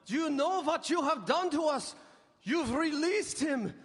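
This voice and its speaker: An actor playing an outraged vampire hunter in a live radio drama, declaiming in anguished shouts. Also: male voice, extremely high pitch, lively intonation, a little slow, heavily acted. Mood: horrified outrage. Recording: live hall with long reverb.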